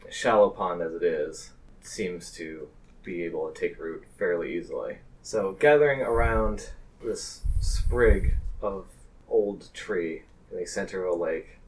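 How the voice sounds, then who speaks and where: A man running a tabletop roleplaying game as game master, improvising narration in a deliberate, halting way. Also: low-pitched, far from the mic, poor audio.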